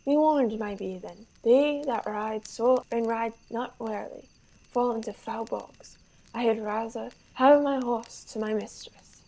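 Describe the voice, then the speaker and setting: Young woman as high-voiced, boastful French prince. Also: measured pace, mellow, low-quality recording. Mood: haughty, teasing. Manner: grandiose, declamatory. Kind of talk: radio theater Shakespeare acting.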